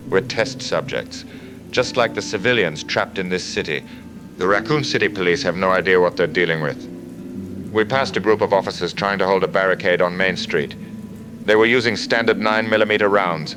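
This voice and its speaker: A man playing a disillusioned middle-aged mercenary soldier giving grim, measured testimony in an audio drama. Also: low voice, background noise, natural voice. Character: weary disillusioned male mercenary soldier, bitter and defiant. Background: background music.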